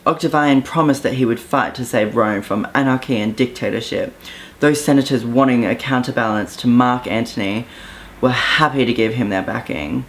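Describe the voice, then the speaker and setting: A young man from Southeast Queensland with an Australian accent, talking casually to camera with an offhand, relaxed manner and frequent ums. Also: medium pitch, moderate pace, a little hiss or hum. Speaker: vlogger. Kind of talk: casual vlog monologue.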